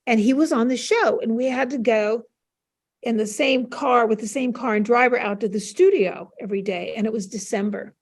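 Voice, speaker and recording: A woman's voice: a little high, normal speed. Older American woman telling anecdotes. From United States. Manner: animated, acts out remembered dialogue. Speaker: panel guest. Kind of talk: casual anecdotal storytelling.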